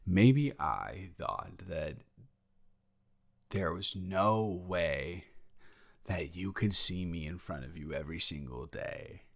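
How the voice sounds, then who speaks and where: A young man playing a protective, secretly jealous male roommate in an improvised intimate audio roleplay, talking in a casual, halting, hesitant way. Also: low voice, lively intonation, vocal fry, pushed and bright, full character voice. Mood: confessing, intense.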